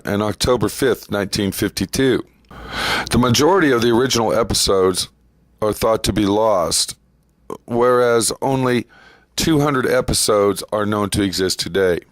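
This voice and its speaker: A man hosting a vintage radio channel, introducing an old mystery episode in a relaxed way with small asides. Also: fairly low pitch, no echo. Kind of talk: slow scripted introduction.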